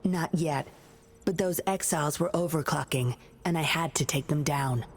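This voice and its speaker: A woman's voice: low-pitched, normal speed. An actress playing a cool, resolute young female operative in a video game, speaking in a terse, controlled and serious way. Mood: firm. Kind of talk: dramatic game dialogue.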